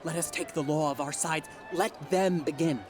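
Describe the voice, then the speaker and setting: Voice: mid-range voice, fairly even intonation, normal speed, a little mellow, faint music behind, some chatter behind, lightly acted. An actor playing a brash, boastful servant in a Shakespeare play, speaking with theatrical emphasis. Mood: provocative. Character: brash, bawdy Capulet servant spoiling for a fight. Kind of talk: classical Shakespearean stage acting.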